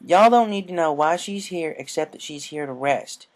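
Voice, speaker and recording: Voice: mid-range voice, expressive, moderate pace, voice pushed up, natural voice. A performer voicing an adult female farm pony, stern but caring, with folksy country phrasing, in a cartoon fan story.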